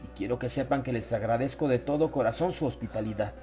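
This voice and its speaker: A man voicing a nervous, courteous Englishman in a horror audiobook, halting and pleading, over music. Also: medium pitch, mellow, close to an everyday voice. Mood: strained politeness. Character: nervous, polite Englishman trapped among sinister hosts.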